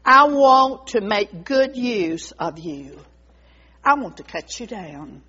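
A woman voicing a firm, insistent male garden master in a storybook tale, with a deliberate manner. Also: on the high side, very animated, bright, forceful tone, slightly rough, exaggerated voice. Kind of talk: storybook character voice. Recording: dull lo-fi recording.

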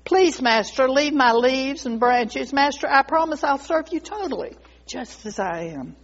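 A woman's voice: mid-range voice, expressive, normal speed, husky, big-room sound. A woman voicing a proud, devoted bamboo tree in a storybook tale, speaking in an earnest, dramatic way. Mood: anguished pleading. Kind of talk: storybook character voice. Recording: dull lo-fi recording.

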